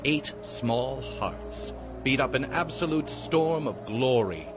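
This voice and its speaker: A man's voice: medium pitch, animated, slow pace. A man playing an ominous storyteller, narrating a spooky Halloween tale theatrically and savoring each phrase. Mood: awed, relishing. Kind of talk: dramatic scripted storybook narration. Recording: loud music under the voice.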